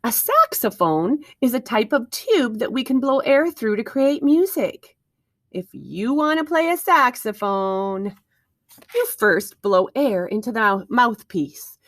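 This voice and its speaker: A woman with a fairly high voice reads a picture book to young children in a very animated way. She speaks as a teacher would, carefully and expressively, putting questions to her listeners. The recording is close and dry. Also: a little slow, no room sound. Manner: expressive, encouraging listeners with questions and wondering asides.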